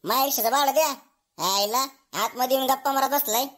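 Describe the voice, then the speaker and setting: A woman's voice: sits high, fast, a bit bright. Rude, demanding rickshaw driver voiced by a woman. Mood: irritated. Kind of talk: comedy cartoon dialogue.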